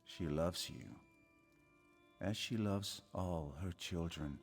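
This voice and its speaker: A man's voice: sits low, slow pace, faint music behind. An actor playing a sinister, solemn male recruiter for an assassin cult in a video game, with theatrical, silky menace. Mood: reverent.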